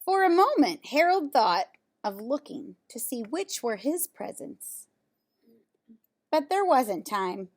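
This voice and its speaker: A woman reading a children's picture book aloud, pausing for casual asides and questions to young listeners. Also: sits high, big pitch swings. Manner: pauses to comment on pictures and ask listeners questions.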